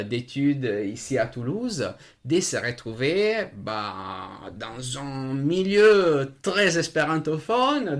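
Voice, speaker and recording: A man whose first language is Italian, talking in a radio discussion, slow and halting with many hesitations. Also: medium pitch. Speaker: association member.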